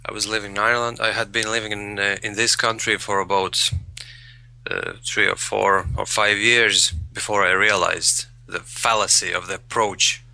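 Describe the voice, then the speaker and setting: A man's voice: fairly low pitch, steady tone, moderate pace, gravelly, sharp tone. A man, a guest in an interview, speaking thoughtfully and repeating words as he searches for phrasing. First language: Latvian. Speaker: guest, English learner. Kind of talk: conversational interview.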